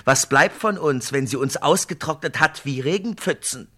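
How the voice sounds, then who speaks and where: A man's voice: on the high side, normal speed, pushed and bright. A man voicing a proud male warrior chief who speaks in brooding questions.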